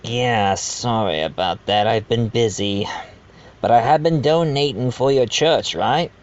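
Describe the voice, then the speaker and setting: A man's voice: mid-range voice, dull audio, close to an everyday voice. A man playing a genial but scheming wealthy host in an amateur murder-mystery audio drama, speaking formally and courteously. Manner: formal, deliberate, addresses guests courteously. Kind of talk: amateur audio drama acting, part narration.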